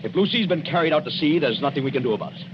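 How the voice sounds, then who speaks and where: A desperate young husband, played by a male actor in an old radio drama. He is urgent and emotional, his raised voice swinging widely, on a muffled 1940s recording with clear background noise. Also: fairly high pitch, expressive, racing, lightly acted. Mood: determined, urgent.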